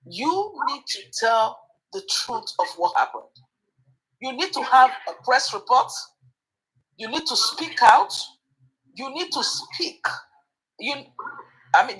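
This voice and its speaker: A woman's voice: sits high, a bit bright, rough recording. A woman hosting a commentary chat, hesitant with fillers at times, then pointed and emphatic. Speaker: host.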